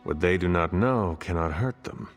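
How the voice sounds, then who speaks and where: An actor playing a grave, reserved ancient warrior in a game cutscene, formal and terse. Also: male voice, low voice, expressive, moderate pace, soft background music. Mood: grave, questioning. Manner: formal, terse, few contractions.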